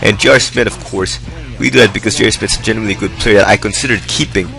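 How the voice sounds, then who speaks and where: A young man talks through his gameplay in a low, gravelly voice that has gone hoarse from a long session. His commentary is loose and rambling but upbeat, and faint music plays underneath. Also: fairly even intonation, fast talker, pushed and bright. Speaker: gaming video host. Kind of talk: casual game commentary. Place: indoors, hot room.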